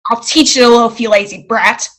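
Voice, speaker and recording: A woman's voice: on the high side, fairly quick, background noise, lightly acted. A woman playing a grieving, drunken, harsh druggist in an old-time radio play. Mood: angry, drunken. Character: harsh, grief-stricken, drunken woman druggist, mother of a grown son.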